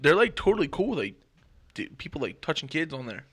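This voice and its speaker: A young man talks fast and eagerly on a casual podcast, his voice bright and a little high. He stacks "like" into nearly every sentence. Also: husky. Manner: quick, excitable, heavy filler words. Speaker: podcast co-host. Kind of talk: casual chat.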